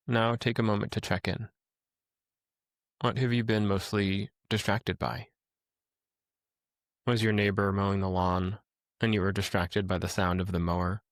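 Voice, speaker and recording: A man leading a guided meditation as a teacher, speaking in a calm, gentle, instructional way with pauses. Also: on the low side, no echo, studio quality.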